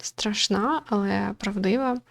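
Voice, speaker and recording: A woman with a mid-pitched voice comments on a visual novel as its host. She talks in a casual, reflective way, hesitating and feeling her way toward the right wording. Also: a little slow, gravelly. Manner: hesitant, searching for words, thoughtful.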